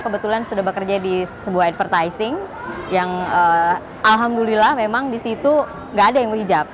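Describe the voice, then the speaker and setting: A woman of thirty, speaking thoughtfully in an interview and pausing to find her words. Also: mid-range voice, noisy background. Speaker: interviewee, magazine editor. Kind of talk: personal testimony interview.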